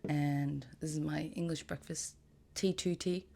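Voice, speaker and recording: A woman with a raspy, hoarse voice, chatting casually in a rambling vlog with fillers and laughs. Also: deep voice, fairly even intonation, a little slow, a little mellow. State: hoarse after an event. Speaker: knitwear designer vlogger. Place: outdoors with birds nearby.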